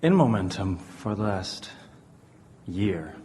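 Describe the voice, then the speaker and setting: Halting man speaking in an echoey hall. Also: deep voice, very animated, darkish tone, slight background noise. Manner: halting, tentative phrasing. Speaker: audience member asking a question. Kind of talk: unscripted personal sharing. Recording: echoey hall with long reverb.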